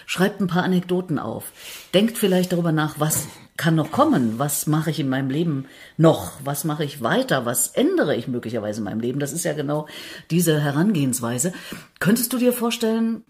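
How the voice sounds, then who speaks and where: A woman with a low voice hosts a conversational interview. She speaks informally and reflectively, as an interviewer addressing her guest. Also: darkish tone. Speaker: interviewer, podcast host.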